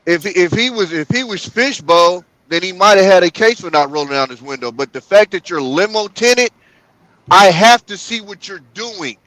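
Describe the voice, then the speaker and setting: Blunt, emphatic man with a bright edge. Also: high-pitched, expressive, poor audio. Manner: blunt, emphatic, slow on key points. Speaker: panelist. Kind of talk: casual group chat. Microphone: headset or desk mic on live stream.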